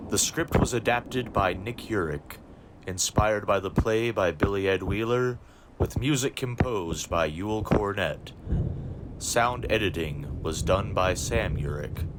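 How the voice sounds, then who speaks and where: Noisy recording of a guy announcer reading credits, lowish voice, slow n formal. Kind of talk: scripted announcement. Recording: clear background noise with clicks.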